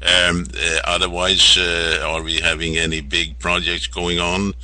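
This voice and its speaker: A man hosting an informal radio interview, speaking in a halting, rambling and polite way. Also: deep voice, flat delivery, sharp tone, dry recording. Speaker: radio show host.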